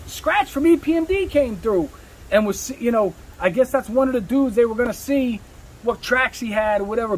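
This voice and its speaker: A man's voice: very high-pitched, breathy edge, low-quality recording. A middle-aged man, a rapper telling career stories in a casual, slangy, profane way, repeating phrases for emphasis. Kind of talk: casual storytelling chat.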